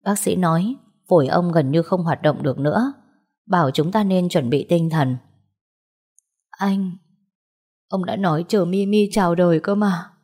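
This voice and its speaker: A woman's voice: fairly low pitch, measured pace, long pauses, dark tone. An anxious young wife and devoted granddaughter, played by a female narrator in an audio story. She speaks with raw emotion and a fierce protective streak. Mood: pleading, worried.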